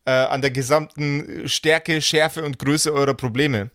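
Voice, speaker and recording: A man with a voice a little higher than most, recorded very dry. He speaks informally and colloquially, like a game master chatting and explaining rules to his players. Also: steady tone, measured pace, fairly bright tone, dry recording. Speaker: game master on a role-play podcast. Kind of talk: casual chat and rule explaining.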